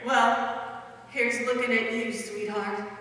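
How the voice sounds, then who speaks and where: Woman as high-voiced brassy noir dame. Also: little pitch movement, slow pace, a bit bright, slight background noise. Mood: wry farewell. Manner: flippant, slangy. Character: brassy wisecracking noir dame, flippant and unbothered. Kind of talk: noir radio play parody. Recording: echoey hall recording from far off.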